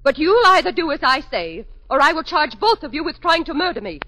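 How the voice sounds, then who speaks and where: An actress playing a haughty, vengeful opera prima donna in a theatrical 1940s radio drama style. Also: female voice, high-pitched, sing-song, a little fast, pushed and bright, exaggerated voice, rough recording. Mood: threatening.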